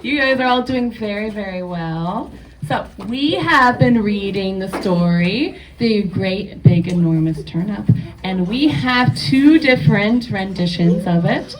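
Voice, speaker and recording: A woman's voice: mid-range voice, animated, measured pace. A woman teacher informally introducing student performances to an audience at a school event. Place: school event, away from the mic. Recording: distant mic, faint noise, crowd chatter.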